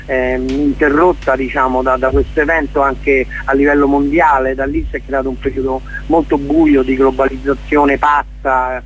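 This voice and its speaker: A man's voice: medium pitch, little pitch movement, moderate pace, few pauses, slight background noise. A man being interviewed casually as a theatre guest, searching for his words as he explains things. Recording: dull lo-fi radio sound.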